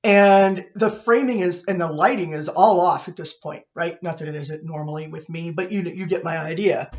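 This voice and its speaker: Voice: medium pitch, normal speed. College instructor, casual online lecture, self-deprecating, office webcam, slightly muffled audio. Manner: chatty, self-deprecating, frequent "right?" checks. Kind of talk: recorded online lecture, conversational. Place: own office, indoors. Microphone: computer webcam set above eye level.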